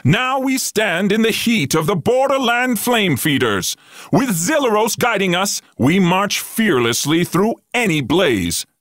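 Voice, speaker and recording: A man plays a loyal propaganda herald in a game, grandiose and pompous. The voice is high and swings widely in pitch as each scripted line is slowly declaimed. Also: sing-song, a bit bright, no echo, clean studio sound, heavily acted. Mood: triumphant. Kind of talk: scripted game news announcement.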